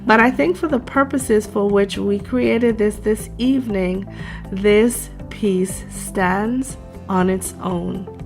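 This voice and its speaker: A woman hosting a craft tutorial at her table, measured and gracious, addressing viewers as darlings and sounding congested from a cold. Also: medium pitch, darkish tone, soft background music. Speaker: DIY craft channel host. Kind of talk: tutorial narration.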